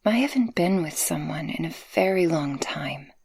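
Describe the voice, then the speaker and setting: A woman playing a tender succubus guardian in an intimate, soft-spoken ASMR roleplay, affectionate and breathy. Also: low voice, animated, moderate pace, no echo, heavily acted. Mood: shy, earnest. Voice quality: breathy, husky. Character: tender, possessive female succubus guardian, playful and shy in love.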